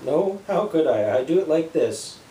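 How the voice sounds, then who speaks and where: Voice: mid-range voice, lively intonation, normal speed, dark tone, natural voice. A parent voicing innocent storybook farm animals, surprised and matter-of-fact in their denials. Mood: surprised, protesting. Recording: roomy home recording with background noise.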